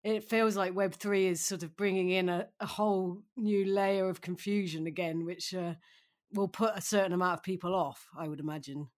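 A woman's voice: fairly low pitch, fairly even intonation, normal speed. A woman hosting a podcast discussion, reacting conversationally and asking her guests questions. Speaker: podcast host.

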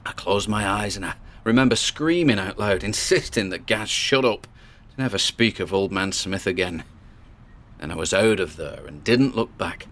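Low-voiced British paranormal investigator played by an actor. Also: male voice, lively intonation, moderate pace, a bit bright. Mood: haunted, ominous. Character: wary, chatty male paranormal investigator recording his exploration. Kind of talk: audio drama, podcast-style narrated exploration.

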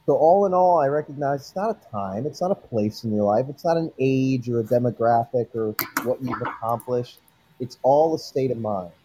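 Man, husky, mellow, dry close sound, encouraging, earnest. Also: medium pitch, no room sound, low-quality recording. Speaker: guest speaker. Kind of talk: motivational speech.

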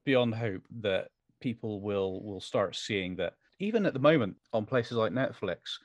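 A man with a slightly low voice talks casually as an interview guest. He is polite and a little hesitant, and the recording is very dry. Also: expressive, measured pace, no echo. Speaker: guest, voice actor.

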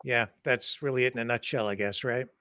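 A man's voice: medium pitch, steady tone, a little fast, pushed and bright, no room sound, studio quality. A man hosting an online interview, asking questions hesitantly with many "uh, you know" fillers. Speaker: host. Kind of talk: casual interview.

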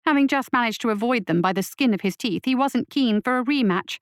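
A woman's voice: on the high side, expressive, brisk, clean tone, no echo, clean studio sound. A woman reading a scripted fiction story aloud as its narrator.

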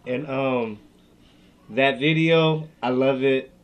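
A man's voice: medium pitch, takes it slowly. A man in his thirties hosting a casual interview, joking and teasing in slangy talk. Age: 30s. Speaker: interview host.

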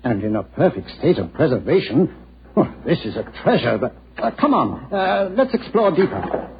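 An actor playing an obliging local gentleman guide in an old radio drama, with a polite, hesitant, explanatory manner. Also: a little high, very animated, normal speed, vocal fry. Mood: eager, cautious. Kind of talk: 1940s radio drama acting. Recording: dull, noisy vintage radio recording.

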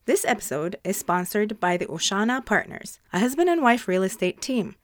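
A woman's voice: medium pitch, big pitch swings, normal speed, no echo. A woman speaking as a podcast host, presenting to listeners and interviewing a guest. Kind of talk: scripted podcast introduction and sponsor reads, then interview questions.

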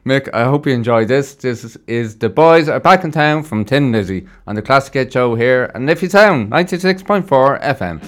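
A man's voice: mid-range voice, expressive, fairly quick, few pauses. A man hosting a music radio show in a casual style, speaking into a studio microphone.

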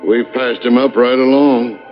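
An actor playing a deferential, matter-of-fact angel aide in 1940s film style, on a muffled old soundtrack. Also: male voice, medium pitch, steady tone, moderate pace, soft background music, lightly acted. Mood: dryly reporting.

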